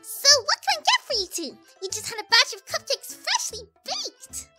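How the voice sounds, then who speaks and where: A woman voicing a bubbly, hyperactive cartoon pony shopkeeper, chattering excitedly in an audio story. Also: very high voice, soft background music, full character voice. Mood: cheerful. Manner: excitable, rapid-fire chattering and questioning. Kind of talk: cartoon-style character acting in fan audio story.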